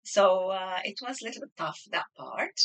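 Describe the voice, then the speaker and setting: A woman's voice: medium pitch, expressive, normal speed, a bit bright. A woman in her sixties, raised in Lebanon, telling her life story as an interview guest, with restarts and fillers. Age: 60s. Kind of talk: conversational interview.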